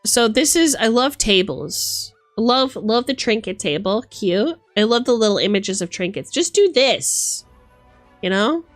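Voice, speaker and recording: A woman with a high, very expressive voice reacts casually as a livestream host. She takes her time, leaving space between short remarks, and slips between theatrical gushing and dry asides. The sound is close and dry. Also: unhurried, no echo, faint music behind. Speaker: streamer hosting a live book look-through.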